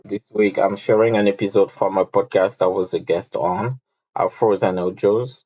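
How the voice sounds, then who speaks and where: A man from Senegal talking through his story in an unhurried way, pausing and restarting as he goes. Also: lowish voice, fairly even intonation, darkish tone, breathy edge, muffled recording, faint music behind. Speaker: podcast host and guest. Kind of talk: conversational interview answers.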